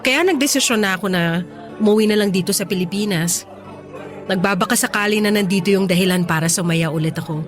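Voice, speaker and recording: A woman's voice: mid-range voice, fast talker, over music, crowd noise, natural voice. An actress playing a wistful, teasing young woman reunited with her ex in a conversational, emotive Tagalog radio drama.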